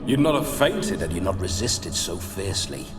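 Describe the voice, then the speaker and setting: A man's voice: low-pitched, very animated, moderate pace. A swooping, leering nobleman ghost. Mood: sly, leering. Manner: theatrical, florid, leering. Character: roguish male nobleman ghost, lecherous and boastful. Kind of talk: video game acting. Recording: long reverb, game music behind.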